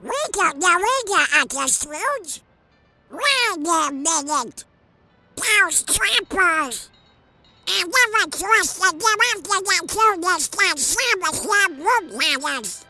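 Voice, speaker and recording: An earnest, excitable young cartoon duck. The voice is high and extremely sharp, with squawked exclamations, over faint music. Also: slow, dry recording, heavily acted. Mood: flustered. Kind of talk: cartoon comic dub.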